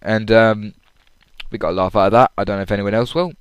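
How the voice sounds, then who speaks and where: A man with a fairly low, clean voice chats casually to himself on a personal audio log. He rambles through his story in an informal way, and the recording is close and dry with little room sound. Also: steady tone, fast, clean tone, no echo, muffled recording. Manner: rambling, acts out quoted lines loudly. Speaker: audience member recapping a comedy show.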